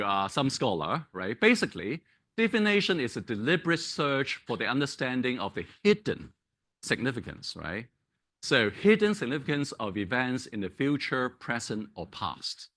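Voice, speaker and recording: A man whose first language is Cantonese gives a public lecture, pausing on many fillers and restarts and checking in with "right?". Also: medium pitch, sing-song, fairly bright tone. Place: lecture hall.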